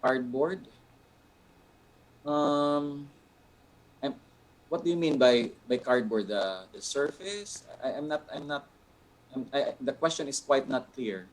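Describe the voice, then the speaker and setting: Male art instructor, Filipino accent, medium pitch. Deliberate, explanatory delivery in an informal Q&A, with searching pauses. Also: lively intonation, low-quality recording. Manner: deliberate, explanatory, pausing to find words. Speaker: art instructor answering audience questions. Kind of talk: informal question-and-answer teaching.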